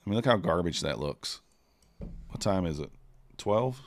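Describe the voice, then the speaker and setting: A man's voice: sits low, animated, normal speed, slight background noise. A man streaming live, chatting casually and thinking aloud with many fillers as he trades. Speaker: streamer trading futures live.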